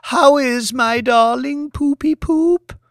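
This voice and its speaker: Gushing, high-pitched noble lady. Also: gravelly, no room sound, clean studio sound, exaggerated voice. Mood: cooing. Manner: gushing, theatrical, drawn-out delivery. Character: melodramatic, cloyingly devoted aristocratic wife.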